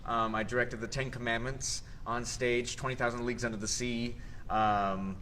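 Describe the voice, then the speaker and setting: A man's voice: mid-range voice, normal speed. A young man from South Texas, a theater director, talking in a casual interview with lots of laughs and restarts. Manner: animated, laughs often, fond of hesitations. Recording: faint background noise.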